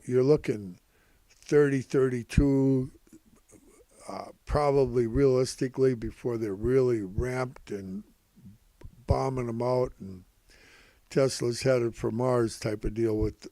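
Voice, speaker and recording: An older man giving informal, rambling financial commentary in a halting, repetitive, folksy way, calling himself Grandpa. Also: mid-range voice, mellow, dry recording. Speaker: host of stock prediction videos.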